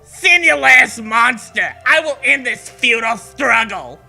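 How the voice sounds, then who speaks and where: A man voicing a sadistic video game demon, mocking and menacing, with theatrical taunts in a creaky, strident voice. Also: extremely high pitch, expressive, a little slow, sharp tone, soft background music, full character voice. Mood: gloating fury. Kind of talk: hammy creepypasta villain reading.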